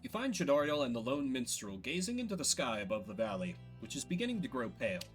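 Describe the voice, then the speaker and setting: A man's voice: medium pitch, big pitch swings, fast, soft background music. A man reading scripted game narration in a storybook-like style.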